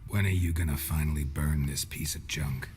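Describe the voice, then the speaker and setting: A gruff video game monster hunter with an extremely deep, gravelly voice. He speaks in terse, dry lines with some tenderness underneath, over background music. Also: fairly even intonation, normal speed. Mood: dry teasing. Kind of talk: video game cutscene acting.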